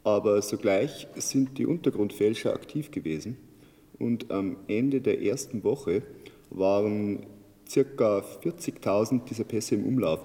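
A man's voice: lowish voice, unhurried, dark tone. An actor playing a cold, officious male subordinate officer in a radio drama, factual and dismissive. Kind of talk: German radio drama acting. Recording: long hall reverb.

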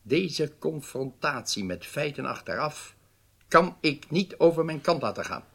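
A man's voice: fairly high pitch, animated, a little slow, creaky, fairly bright tone, heavily acted. An actor playing a stern male head of government in a radio drama, speaking formally with weighty emphasis. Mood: indignant.

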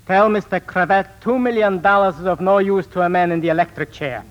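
Man playing a high-voiced, theatrical sinister swindler. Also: a little fast, natural voice. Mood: menacing. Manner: theatrical, formal, precise diction. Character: sinister bitter small-time male swindler and phony psychic. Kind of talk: 1940s radio acting. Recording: muffled 1940s radio recording.

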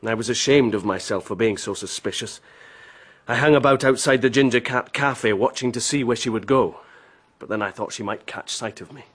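A bottled-up young Englishman in a radio drama. His voice is mid-pitched and somewhat husky, with an English accent. He speaks haltingly, troubled and self-reproaching. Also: fairly bright tone. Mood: ashamed.

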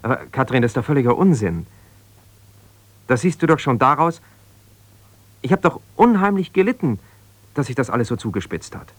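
An actor playing a wounded, self-justifying husband in a naturalistic radio drama, talking in a hesitant, searching, conversational way. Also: male voice, medium pitch, sing-song, fairly quick, long pauses, no room sound. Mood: indignant, defensive. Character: defensive, wounded husband pouring out his troubles to a confidante. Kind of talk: naturalistic 1970s German radio drama monologue.

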